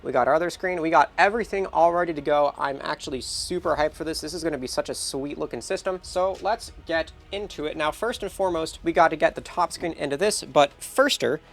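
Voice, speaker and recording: A man with a slightly congested, nasal voice talks through a hands-on build in a casual, upbeat tutorial style. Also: a little high. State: nasal from feeling under the weather. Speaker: host of a console-building tutorial channel.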